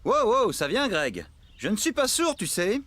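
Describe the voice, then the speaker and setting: A man's voice: sits high, sing-song, measured pace, bright, forceful tone, soft background music, exaggerated voice. A man voicing an evasive farmhand in a children's cartoon, hesitant and apologetic. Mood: flustered. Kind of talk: French children's cartoon dub.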